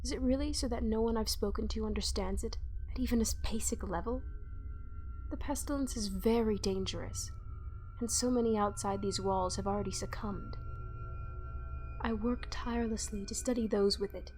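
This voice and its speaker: A woman's voice: on the high side, sing-song, normal speed, darkish tone, faint music behind. A woman playing a cold, obsessive plague doctor creature in a horror roleplay, speaking in formal, old-fashioned, condescending phrases. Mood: obsessive, disdainful. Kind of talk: scripted horror ASMR roleplay.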